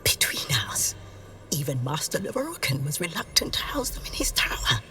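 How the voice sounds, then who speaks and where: Hushed, very low-voiced haughty bookshop keeper. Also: female voice, big pitch swings, moderate pace, whispered, recorded from a distance, heavily acted. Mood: conspiratorial, smug. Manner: hushed, disdainful, clipped politeness. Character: haughty, secretive arcane bookshop keeper, coolly condescending. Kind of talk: dramatic video game acting.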